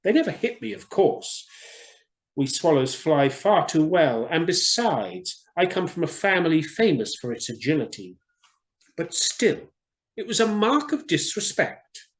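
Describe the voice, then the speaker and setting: A man's voice: fairly high pitch, sing-song, a little slow, voice pushed up, low-quality recording. A man reading a children's storybook and voicing a small, chatty, kind-hearted swallow with a boastful, fussy manner. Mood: huffy, boastful.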